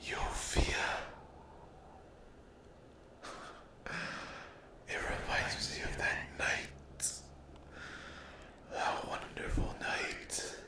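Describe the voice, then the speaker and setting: A man voicing a menacing, possessive sleep paralysis demon in a whispered ASMR roleplay, with slow, taunting, drawn-out phrases. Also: natural voice. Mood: gloating, sinister. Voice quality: breathy whisper with creaky low voice.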